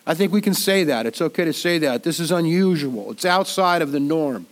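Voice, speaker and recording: This pastor preaches with a fairly high voice that sounds stuffed up and slightly nasal from a head cold. He talks to the congregation conversationally, stumbling over and repeating words as he gathers his thoughts. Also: animated, fairly quick. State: congested from a cold. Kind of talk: sermon.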